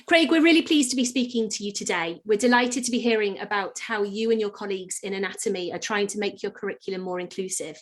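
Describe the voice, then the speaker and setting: Woman, podcast host, scripted welcome, mid pitch, soft music underneath. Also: fast, hardly any pauses. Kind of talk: scripted podcast intro and interview questions.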